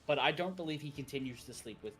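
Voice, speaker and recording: A man's voice: mid-range voice, expressive, fast talker, a bit bright. A man co-hosting a game stream, chatting casually, rambling and laughing often. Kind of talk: casual chat while gaming.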